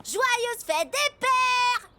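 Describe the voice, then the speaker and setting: Cartoon kid lead: eager scheming boy, very high pitch, lively swings, bold and cheerful. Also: very slow, full character voice. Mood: excited. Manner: excitable, bold.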